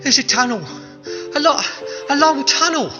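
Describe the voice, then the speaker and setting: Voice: high voice, moderate pace, lo-fi sound, lightly acted. An actor playing a hammy, defiant crook in a radio comedy. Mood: dying, mournful. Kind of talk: radio comedy stage acting. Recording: loud music behind the voice.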